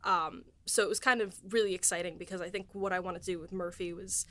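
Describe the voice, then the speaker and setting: A woman's voice: on the low side, steady tone, fast talker, fairly bright tone. A woman hosting a behind-the-scenes podcast in a loose, chatty way with plenty of fillers. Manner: chatty, many fillers and light laughs. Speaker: podcast host and writer. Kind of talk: casual podcast chat.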